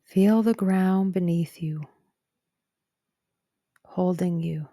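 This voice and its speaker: A woman narrating a guided meditation in a gentle, calm and soothing way, with soft breathy phrase endings. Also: fairly low pitch, very slow, dry recording, clean studio sound. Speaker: psychologist guiding a meditation.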